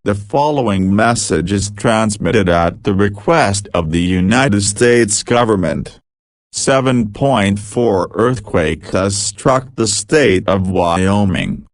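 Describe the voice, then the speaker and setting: Synthetic male emergency announcer, slow stiff formal read, very dry sound. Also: fairly low pitch, lively intonation, no echo. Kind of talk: scripted emergency alert announcement.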